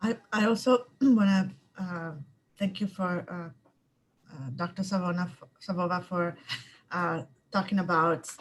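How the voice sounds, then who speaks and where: A woman's voice: on the low side, a little hiss or hum. A woman giving hesitant, unscripted comments on a video call, with many fillers.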